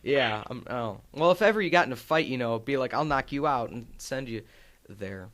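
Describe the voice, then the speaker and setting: A man's voice: medium pitch, animated, normal speed, a bit bright. A man hosting a radio sports show, chatting upbeat with a guest and laughing easily. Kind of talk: radio interview. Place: radio studio.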